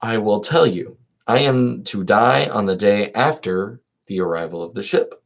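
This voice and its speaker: A man reading the part of a calm old philosopher resigned to death, composed, formal and questioning. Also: lowish voice, normal speed, vocal fry.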